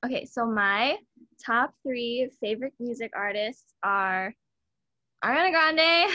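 A woman's voice: fairly high pitch, lively intonation, slow pace, sharp tone, dry recording, muffled recording. A young woman from Hawaii co-hosting a podcast in casual chat, hesitant and searching for words, then gushing. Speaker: podcast co-host.

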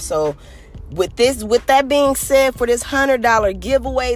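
A podcast host from California, a little hoarse and raspy today, giving an earnest, rambling motivational monologue full of "you know" fillers. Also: medium pitch.

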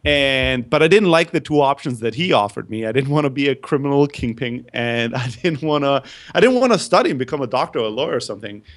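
A man from Greece tells his story as a guest on a podcast. He talks with candid, energetic self-deprecation. His voice sits at a middle pitch. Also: fast, hardly any pauses. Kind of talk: casual interview storytelling.

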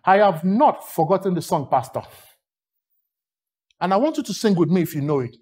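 Nigerian man, preacher, sermon, animated pitch, rhythmic repetition. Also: normal speed, breathy edge. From Lagos, Nigeria. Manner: emphatic, rhythmic repetition and long lists.

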